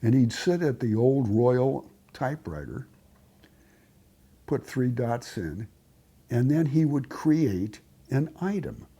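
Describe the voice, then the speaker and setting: A man tells documentary stories with deliberate emphasis. His voice is soft-edged and husky, and his pitch moves in lively swings. He likes to repeat a phrase to drive it home. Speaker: documentary storyteller. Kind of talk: documentary storytelling.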